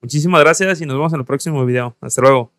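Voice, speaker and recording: Smooth-voiced Mexican co-host. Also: male voice, fairly high pitch, rapid-fire, fairly bright tone, no echo. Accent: Mexican Spanish. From Oaxaca, Mexico. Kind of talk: casual interview chat.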